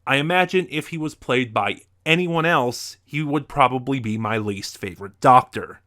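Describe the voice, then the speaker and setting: Male reviewer, mid-pitched with a bright, forceful tone, measured but emphatic, sharing personal opinions.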